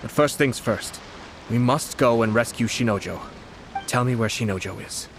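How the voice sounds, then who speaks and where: An actor playing a composed, shrewd young nobleman in a video game, speaking in a measured, formal, deliberate way. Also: mid-range voice, noisy background, lightly acted. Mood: serious, resolute. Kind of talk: video game character acting.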